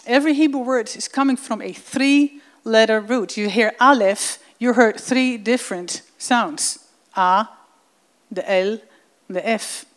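A woman's voice: on the high side, measured pace. A woman from the Netherlands, giving an earnest, enthusiastic presentation with slides to a congregation. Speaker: guest presenter.

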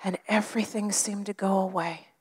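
A woman preaching a sermon with an expressive delivery that often drops to a whisper. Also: medium pitch, normal speed, slightly rough.

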